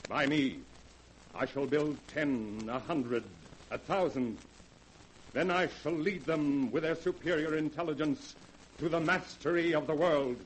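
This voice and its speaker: An actor playing a scheming lab man with plans to rule the world, speaking in smooth, measured, coldly calm tones in 1940s radio-drama style. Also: on the high side, a little hiss or hum, close to an everyday voice, rough recording. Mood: cold menacing. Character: scheming male lab assistant turned megalomaniac, cold and calm.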